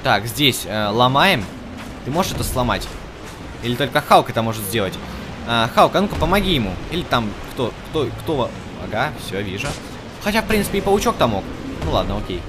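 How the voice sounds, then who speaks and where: A man doing casual, slangy let's-play commentary, excitedly urging the game's characters on as he plays. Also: medium pitch, a little fast, noisy background. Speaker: gaming channel host. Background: game music and effects.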